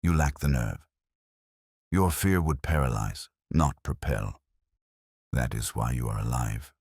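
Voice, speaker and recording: Audiobook detective, man performing: commanding and unshakable, bottomless husky register, steady formal accusations. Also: very deep voice, no room sound, clean studio sound, pitched below the performer's own voice, heavily acted. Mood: cold, stern. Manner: steady, cold, formal, deliberate.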